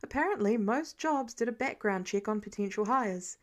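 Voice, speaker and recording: A woman's voice: on the high side, expressive, moderate pace. A woman reading a story aloud as scripted narration, acting it out expressively. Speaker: podfic narrator reading fan fiction.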